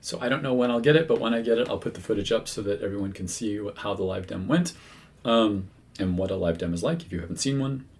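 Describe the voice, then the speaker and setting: A man with a fairly low voice talks to his viewers in a relaxed, conversational way. He often stops to restart a sentence and fills the gaps with "um" and "uh". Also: quick pace. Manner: conversational, many fillers and self-corrections. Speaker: medium, channel host. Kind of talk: casual talk to camera.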